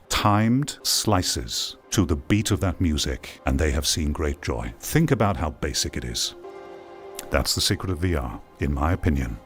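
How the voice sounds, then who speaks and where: A man giving opinion commentary on VR gaming in an emphatic, deliberate way, repeating phrases for weight. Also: a bass voice. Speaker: VR gaming video creator. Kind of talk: opinion commentary over gameplay. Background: music.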